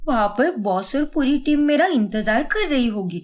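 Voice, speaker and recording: A young working daughter-in-law acted by a woman narrator in a cartoon family story. She sounds harried and exasperated as she protests, with a highly animated, mobile pitch. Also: normal speed. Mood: exasperated, hurried.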